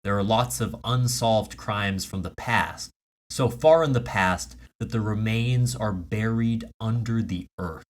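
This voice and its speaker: A man's voice: fairly low pitch, a little slow. A man co-hosting a comedy podcast, chatting in casual banter. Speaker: podcast co-host.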